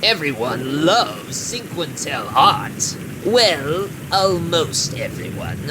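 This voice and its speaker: Man putting on a slow, ghoulish horror host. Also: on the high side, very animated, background noise. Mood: sly, gleeful. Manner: slow, theatrical, sly. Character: old creep, ghoulish male horror-comic host. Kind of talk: horror anthology narration.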